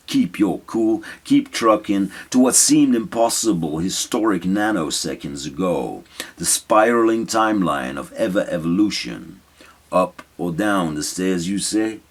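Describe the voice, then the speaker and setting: Low-voiced man reciting spoken-word poetry. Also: expressive, unhurried, slightly rough. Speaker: writer reading own spoken-word piece.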